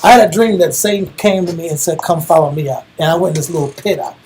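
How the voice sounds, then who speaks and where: A man with an American accent and a husky voice, teaching religion in a colloquial, emphatic way, repeating phrases for emphasis. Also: a little high, fast talker, darkish tone, slight background noise. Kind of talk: informal sermon discussion.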